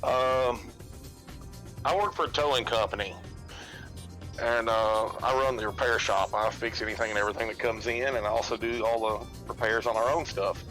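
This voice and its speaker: Plainspoken male guest, mid-pitched and easygoing, soft music bed behind. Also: steady tone, moderate pace, poor audio. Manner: plainspoken, rambling storytelling. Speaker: stream guest. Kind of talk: casual chat.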